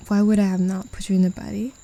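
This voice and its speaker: A woman voicing a possessive, mocking demon in an ASMR roleplay, speaking in a condescending, teasing way with soft whispers. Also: medium pitch, little pitch movement, fast talker, lightly acted. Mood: taunting. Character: mocking, possessive demon who claims a human as a pet.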